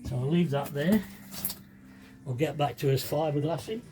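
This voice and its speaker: A man's voice: a little high, moderate pace, mellow, faint music behind. A man giving a casual hands-on DIY tutorial, chatty and self-deprecating as he thinks aloud while working, slightly sniffly with a cold. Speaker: DIY video maker demonstrating fibreglassing.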